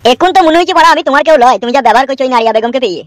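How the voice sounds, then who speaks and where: A high-voiced performer, heard as a woman, voicing a cheeky, complaining young nephew in comic cartoon dialogue. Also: racing, dry recording, natural voice. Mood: protesting.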